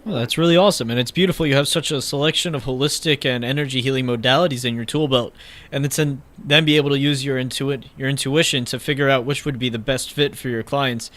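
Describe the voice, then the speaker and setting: Fast-talking enthusiastic male radio host. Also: medium pitch, steady tone, a bit bright. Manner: enthusiastic, admiring. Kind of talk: interview.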